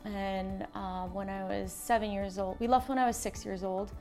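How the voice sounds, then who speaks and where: A woman's voice: mid-range voice, level tone, moderate pace, a little mellow, soft background music. An American woman speaking casually and enthusiastically in an interview as a small business owner. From born in Slovakia, moved to the United States at seven. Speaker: small business owner, textile designer and shopkeeper.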